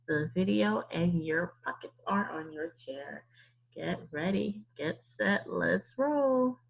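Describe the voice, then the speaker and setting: A woman teacher leading an interactive read-aloud for young children, playful and animated, often asking questions, with a slightly husky voice. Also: lowish voice, poor audio.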